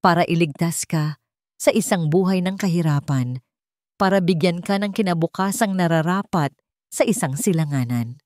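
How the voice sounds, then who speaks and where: A woman's voice: fairly low pitch, moderate pace, darkish tone, no echo, studio quality, lightly acted. A Filipino woman voicing a proud, cruel, wealthy old grandmother in a dramatic story, haughty and insistent. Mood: haughty, hateful. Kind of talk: dramatic storybook character reading.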